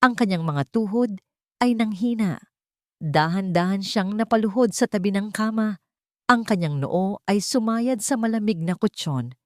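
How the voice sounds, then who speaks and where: A woman narrating a scripted drama in short, measured, emotive phrases. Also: medium pitch, dry recording, clean studio sound.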